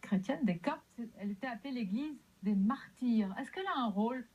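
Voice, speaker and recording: A woman's voice: on the high side, animated, brisk, breathy edge, muffled recording. A woman hosting a TV interview, prompting her guest with leading questions. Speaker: TV host.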